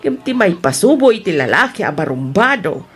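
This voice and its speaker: A woman's voice: fairly low pitch, big pitch swings, moderate pace. A woman playing a teasing, gossipy aunt in an Ilocano audio drama. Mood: teasing, amused. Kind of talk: Ilocano radio-style drama acting.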